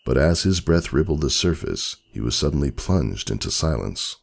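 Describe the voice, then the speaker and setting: A man narrating a story as a dramatic scripted reading, with a gravelly, husky voice and expressive literary delivery. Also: very deep voice, normal speed.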